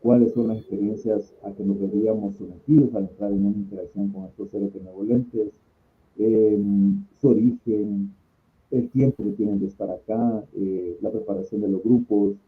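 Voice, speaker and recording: A middle-aged man with a Salvadoran Spanish accent, answering interview questions as a guest in an earnest, explanatory way with deliberate pauses. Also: lowish voice, fairly even intonation. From San Salvador, El Salvador. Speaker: invited guest, conference speaker and contact group organizer. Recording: thin phone-like call audio.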